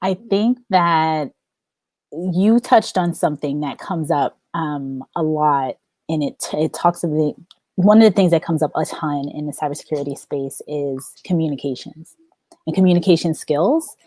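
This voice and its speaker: A woman's voice: deep voice, normal speed, mellow, no room sound. A woman hosting a podcast interview, enthusiastic, often repeating and restarting her phrases as she asks questions. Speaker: podcast host.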